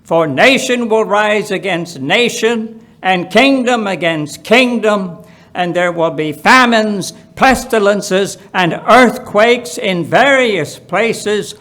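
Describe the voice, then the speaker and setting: Very slow, high-pitched man reading scripture. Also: expressive, a bit bright. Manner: deliberate, emphatic phrasing with pauses. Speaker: Bible reader at a church.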